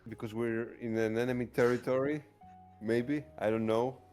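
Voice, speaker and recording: Guy at a tabletop rpg, mellow low voice, casual, music in back. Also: fairly even intonation, moderate pace. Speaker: tabletop game player. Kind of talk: casual in-game roleplay chat. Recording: music behind the voice.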